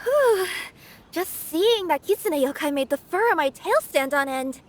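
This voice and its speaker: Young cat-girl spirit for anime game: very high, lively, animated. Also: unhurried, a little hiss or hum. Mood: relieved.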